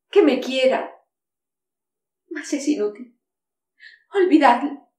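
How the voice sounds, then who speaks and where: A middle-aged actress playing a lonely, dreamy maiden walled up in a castle for centuries, declaiming slow sighing verse. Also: female voice, on the high side, fairly bright tone. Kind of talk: theatrical monologue in verse. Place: studio with castle backdrop. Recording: echoey room, mic far off.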